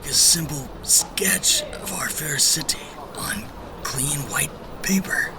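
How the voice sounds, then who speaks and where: A man playing a blunt, skeptical traveling companion in an audio drama, hushed and irreverent. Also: fairly high pitch, slow pace, in a whisper. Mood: bewildered.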